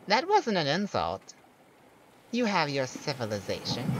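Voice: mid-range voice, rough recording. A performer voicing a proud, lonely male siren in a scripted ASMR roleplay, speaking theatrically and teasingly to one listener. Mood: haughty. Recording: steady background noise of beach ambience. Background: beach and ocean sounds.

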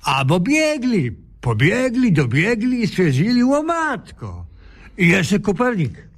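A man's voice: high voice, wildly swooping pitch, slow, husky, heavily acted. An actor playing a flustered, plaintive elderly watchman in a radio comedy sketch, with muddled rustic speech. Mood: distraught. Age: older. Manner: rustic, ungrammatical, lamenting exclamations.

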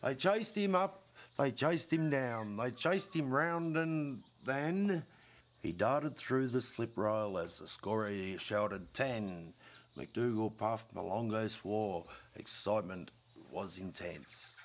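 A middle-aged man, reciting a comic bush ballad as an expressive storyteller. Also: fairly low pitch, slow pace. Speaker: poetry reader.

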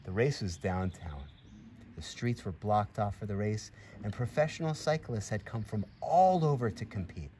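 A middle-aged man reads a picture book aloud to children in an expressive, encouraging way, with friendly asides to his listeners. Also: on the low side, moderate pace, a little hiss or hum. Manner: expressive, encouraging, addresses children and animal directly. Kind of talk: animated storybook reading with friendly asides to young listeners. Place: outdoors in a grassy farmyard by a barn. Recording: clear outdoor background ambience.

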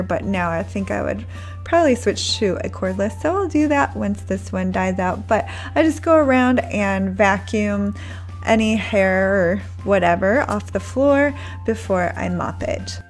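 A woman's voice: medium pitch, unhurried, soft background music. A woman in her thirties narrating her cleaning routine in a friendly, chatty voice-over, recommending products. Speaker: home cleaning vlogger.

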